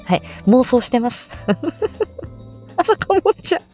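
Giggly woman with lively, swooping pitch. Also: a little slow, soft background music. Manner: giggly, self-deprecating, laughs often at herself. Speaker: host of a casual audio stream. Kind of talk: casual rambling chat with listeners.

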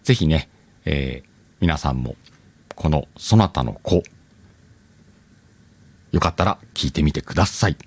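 A man's voice: a bass voice, animated, a little slow, pauses often, slightly rough. A man hosting a channel, chatting informally and addressing his listeners as he makes a casual announcement. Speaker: channel host.

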